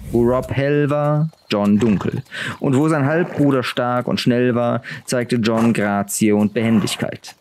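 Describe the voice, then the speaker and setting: A man's voice: medium pitch, a little slow, darkish tone, slight background noise. A man reading a scripted fantasy story aloud as an audiobook narrator.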